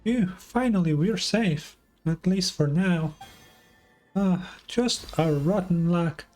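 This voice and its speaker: A man voicing a small, excitable female fairy companion in a video game, whiny, stammering and easily flustered. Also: high voice, takes it slowly, soft background music. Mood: indignant. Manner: flustered, stammering, refers to self by name.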